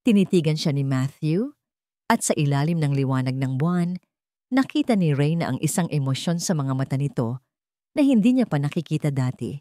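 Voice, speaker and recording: A woman's voice: low-pitched, big pitch swings, fairly quick, warm tone, no echo, clean studio sound. A woman with a Filipino accent reading a scripted romance story as a dramatic narrator.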